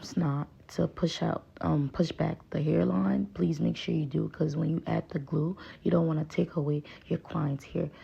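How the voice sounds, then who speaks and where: Hairstylist, young adult woman with a Haitian accent, low gravelly voice, coaxing and patient, dull lo-fi recording. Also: darkish tone. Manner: patient, pleading, repeats "please, guys". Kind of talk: voice-over tutorial.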